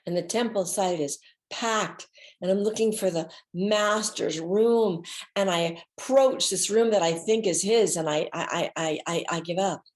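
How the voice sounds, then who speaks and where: A woman's voice: fairly low pitch, measured pace. A woman giving a dramatic reading from a one-woman show, telling her story theatrically and leaning on repeated words for emphasis. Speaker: solo stage performer and storyteller.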